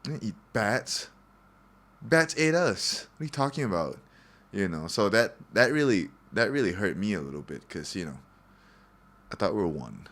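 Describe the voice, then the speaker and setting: Joking, loose-talking man with a lowish voice. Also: very animated, moderate pace, a bit bright. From Singapore. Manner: loose, joking, profane, lots of fillers. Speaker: podcast host. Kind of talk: casual podcast chat.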